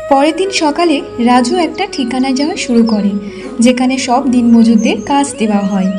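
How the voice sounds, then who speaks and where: A woman's voice: sits high, fairly quick. A woman reading scripted storybook narration for a cartoon. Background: clearly audible music.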